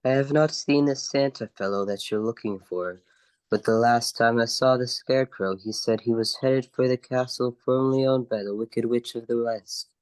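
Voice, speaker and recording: An earnest tin man of the woods, voiced by a man. He speaks at a middling pitch, gentle and puzzled, in storybook radio-play style. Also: fairly even intonation, normal speed, clean tone, natural voice, low-quality recording. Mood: grateful, earnest. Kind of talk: amateur radio play acting.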